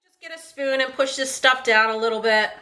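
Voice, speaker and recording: Casting: woman, 30s, home cook, bright clear tone, slightly high, chatty step-by-step recipe delivery. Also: fairly even intonation, a little fast. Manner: friendly step-by-step instruction. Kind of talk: cooking demonstration. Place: home kitchen, indoors.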